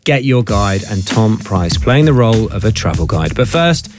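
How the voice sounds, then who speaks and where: A man's voice: lowish voice, big pitch swings, moderate pace, loud music behind the voice. A man presenting a radio show in a casual style on a close studio microphone. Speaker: radio host.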